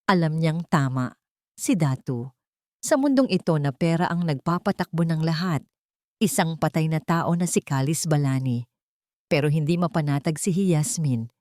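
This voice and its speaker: A woman narrates a dramatic audio story from a script. Her voice sits low, and the recording is dry and close, with no room around it. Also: animated, normal speed, a little mellow, no echo.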